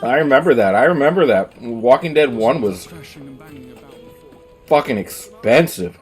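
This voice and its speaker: A man's voice: medium pitch, expressive, unhurried, soft background music. A man streaming a game, rambling casually with lots of ums and the odd swear word. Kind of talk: casual chat while gaming. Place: at home, streaming.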